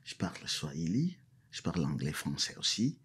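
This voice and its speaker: A man with a very deep, heavily husky voice. He is a professor and a Mashi speaker, answering in an interview. He hesitates with fillers, then presses his points through repetition. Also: very animated, moderate pace, a bit bright. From South Kivu, DR Congo. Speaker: guest, professor.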